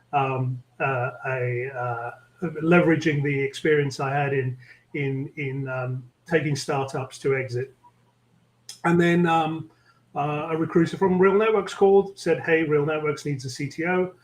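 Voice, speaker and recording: A middle-aged man with a London English accent speaks in a slightly raised pitch, pausing often with ums and uhs. He is being interviewed over a video call from an echoey room. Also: steady tone. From England. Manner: measured, many ums and uhs. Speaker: guest, tech executive. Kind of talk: interview conversation.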